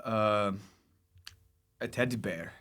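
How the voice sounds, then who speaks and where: A man's voice: lowish voice, takes it slowly, a bit bright. A man from Lithuania, a comedian guest chatting casually and reflectively in a conversational way. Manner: reflective, conversational, frequent 'like' and 'you know'. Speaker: stand-up comedian, podcast guest. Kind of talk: casual chat.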